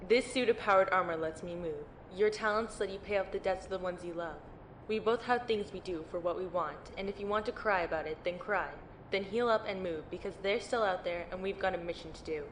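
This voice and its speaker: A woman's voice: lowish voice, brisk, a little hiss or hum, close to an everyday voice. A woman playing a tough, no-nonsense lieutenant in an amateur audio drama, blunt and matter-of-fact. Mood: stern. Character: tough, no-nonsense female lieutenant in powered armor. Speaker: voice actor playing a military lieutenant.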